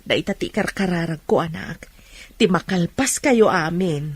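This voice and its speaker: A woman's voice: on the low side, measured pace, slightly rough, no echo. A woman acting as a patient, encouraging mother of a poor family in an Ilocano radio drama.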